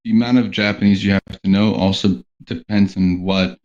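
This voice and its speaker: A man with a fairly low voice talks through his points in a loose, rambling way. He leans on "like" and "um" between phrases. The sound is muffled and lo-fi. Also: steady tone, normal speed, a little mellow. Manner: rambling, heavy on "like" and "um" fillers. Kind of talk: casual panel chat. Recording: dull lo-fi sound.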